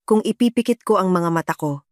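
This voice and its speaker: A woman's voice: lowish voice, animated, a little fast, dry recording, clean studio sound, natural voice. A woman voicing an injured young wife who is hurt but set on revenge. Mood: weary.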